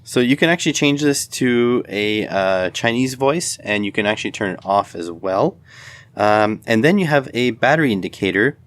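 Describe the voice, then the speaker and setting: A man's voice: medium pitch, lively intonation, moderate pace, fairly bright tone. A man giving a casual, unscripted product demonstration in a rambling, matter-of-fact way. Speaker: video host, electronics product reviewer.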